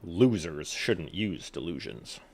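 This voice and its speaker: A man's voice: low-pitched, animated, takes it slowly, a little mellow, a little hiss or hum. A man giving casual, sarcastic playthrough commentary, teasing and muttering to himself as he plays. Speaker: gamer. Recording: game music clearly behind. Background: video game music.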